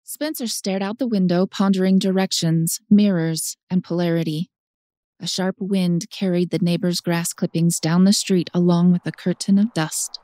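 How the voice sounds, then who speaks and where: A woman's voice: fairly low pitch, fairly even intonation, normal speed, mellow, no echo, studio quality. A woman narrating a story for an audiobook in a scripted style.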